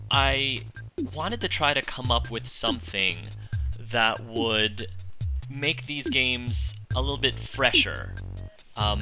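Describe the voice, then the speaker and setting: A man hosting a Let's Play in a casual, enthusiastic way, phrasing things deliberately and with emphasis. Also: mid-range voice, bright, forceful tone. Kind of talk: casual video introduction over game music. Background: video game music.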